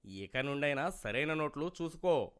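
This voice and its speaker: A man performs a stern bank cashier for an animated moral story. The voice is forceful and very clear. He speaks curtly, as an official handing out a warning. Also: fairly high pitch, normal speed. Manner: curt, admonishing. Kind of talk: cartoon moral story character acting.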